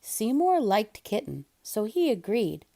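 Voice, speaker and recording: Female picture-book narrator: expressive, unhurried, higher-pitched, very varied intonation. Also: creaky. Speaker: storybook reader. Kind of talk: expressive children's read-aloud narration.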